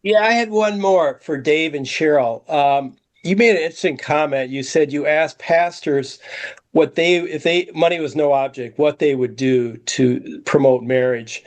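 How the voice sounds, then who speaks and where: A man in the meeting asks a curious, measured question over a video call. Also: a little high. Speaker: participant asking questions.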